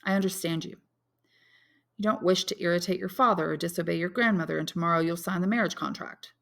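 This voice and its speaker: Woman reading as an earnest, intense young man, creaky-voiced. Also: fairly low pitch, fast, a bit bright. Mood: controlled, persuasive. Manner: earnest, solemn. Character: intense, lovelorn, honorable young man, grimly resolute. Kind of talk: dramatic audiobook character reading.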